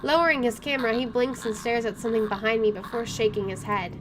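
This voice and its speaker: A woman with a fairly high voice hosts a let's play of a story game. She reads narration aloud and drops in casual comments, with game music clearly behind her. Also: steady tone, quick pace. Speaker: gaming video host.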